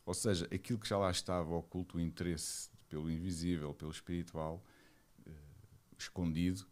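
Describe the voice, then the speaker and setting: A man's voice: low voice, fairly even intonation, a little fast, darkish tone. A man in his forties with a Lisbon Portuguese accent, a monk giving a reflective, hesitant personal account as he searches for words. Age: 40s. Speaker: Buddhist monk, interview guest.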